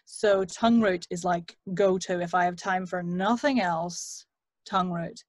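A British woman teaching vocal exercises as a voice coach on a video call, demonstrating lively from a home studio mic. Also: lowish voice, unhurried, dry recording.

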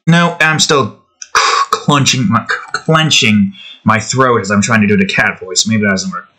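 A man's voice: fairly low pitch, slow pace, long pauses, sharp tone, husky. A young man giving rambling, casual commentary to himself while drawing, now and then testing out voices, recorded on a desk mic in a small room at home. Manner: rambling, self-talk, trying out voices. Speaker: art video creator.

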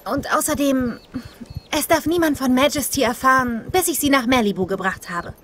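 A woman voicing a caring young big sister, earnest and encouraging, in a German animated film dub. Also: high-pitched, normal speed, slight background noise. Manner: earnest, instructive.

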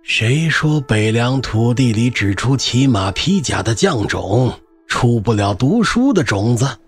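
An actor playing a spirited, easygoing old Confucian scholar in an audio drama, expounding wryly and unbowed. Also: male voice, medium pitch, measured pace, breathy edge, soft background music, exaggerated voice. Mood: proud, rousing. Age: older. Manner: wry, expounding, animated. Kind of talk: Chinese audio drama acting.

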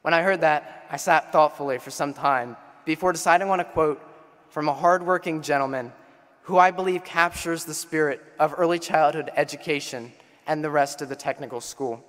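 A man's voice: fairly high pitch, moderate pace, a bit bright. A young man from Pennsylvania, class president, giving a rousing formal commencement speech into a podium microphone on a large auditorium stage. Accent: Pennsylvania American. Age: young adult. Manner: rousing, builds to call-and-response refrains. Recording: long hall reverb.

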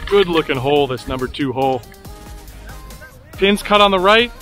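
A man's voice: on the high side, expressive, moderate pace, a bit bright, faint music behind. Chatty golf vlogger man. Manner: enthusiastic, chatty. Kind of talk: casual on-course commentary. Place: outdoors on a golf course.

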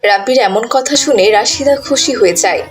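A woman's voice: a little high, brisk. A woman reading scripted narration for a children's story.

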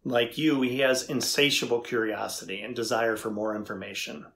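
Man as a gravelly, husky, curious researcher. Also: mid-range voice, steady tone, fairly bright tone. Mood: explaining. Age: middle-aged. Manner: deliberate, inquisitive. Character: thoughtful, curious male AI researcher interviewing a machine. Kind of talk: dramatized transcript reading. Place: indoors before a studio backdrop.

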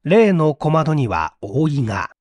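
A man's voice: a little high, very animated, slow, a little mellow, no room sound. A man reading a story as an audiobook narrator in a dramatic, scripted style.

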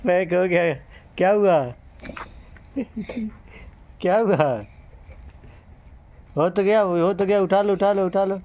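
A man's voice: sits high, slow, long pauses, a little mellow, lo-fi sound. A man filming a child outdoors in a rural courtyard, narrating casually and cheering him on with laughs. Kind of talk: casual home-video commentary. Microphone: phone camera held by the filmer.

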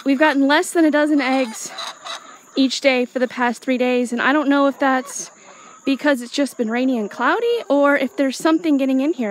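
A woman casually narrating her farm chores and thinking aloud as she goes, in an unscripted vlog style. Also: sits high. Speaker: small farm owner and vlogger. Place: outdoors on a farm. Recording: outdoor noise, insects and animal sounds.

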